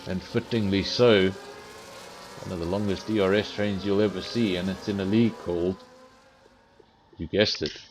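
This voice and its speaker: A man's voice: sits low, steady tone, moderate pace, long pauses, a little hiss or hum, poor audio. A man giving live commentary on an online racing league stream, chatty and self-deprecating, talking straight to his viewers. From South Africa. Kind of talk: live esports race commentary.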